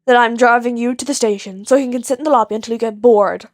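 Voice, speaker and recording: A woman's voice: fairly high pitch, fairly even intonation, fast talker, no echo, soft background music, natural voice. Exasperated male feline officer, read by a woman: weary, dry delivery, long-suffering, audio story, very dry recording. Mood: resigned.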